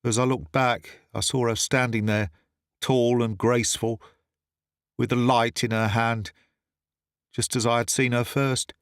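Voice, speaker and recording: A man reading a classic story aloud as scripted narration, in a measured, earnest way. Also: mid-range voice, flat delivery, dry recording, clean studio sound. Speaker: audiobook reader.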